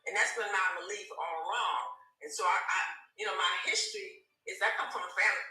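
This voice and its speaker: A woman's voice: mid-range voice, fast talker, pushed and bright. An eighty-year-old woman chatting as an interview guest, earnest and chatty, often repeating her words. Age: 80s. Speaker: program participant, guest. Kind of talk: casual interview conversation. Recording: echoey room, distant from the mic.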